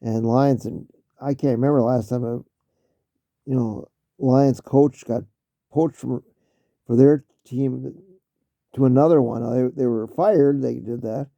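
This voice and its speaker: A man giving casual spoken commentary on football, halting with many fillers and restarts, clearing his throat often as he loses his voice. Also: medium pitch, fairly even intonation, warm tone, dry recording, muffled recording. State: losing his voice. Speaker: vlogger talking football.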